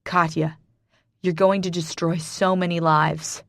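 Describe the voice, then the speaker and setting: Woman reading an enraged man, harsh, slow, dry close sound. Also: lowish voice, level tone, no room sound. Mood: stern. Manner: harsh, accusing, shouting. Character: furious young man confronting a woman.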